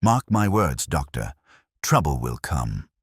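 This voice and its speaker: A man voicing an anxious landlady in an audiobook, hushed and ominous. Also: very deep voice, very animated, takes it slowly, husky, no room sound, clean studio sound, exaggerated voice. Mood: anxious foreboding. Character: anxious landlady, foreboding woman. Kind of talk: audiobook character acting.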